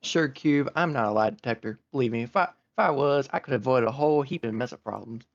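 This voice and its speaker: A man's voice: medium pitch, fast, creaky, lightly acted. A man voicing a stern but fair female farm pony who speaks with folksy country phrasing, on a dull lo-fi mic.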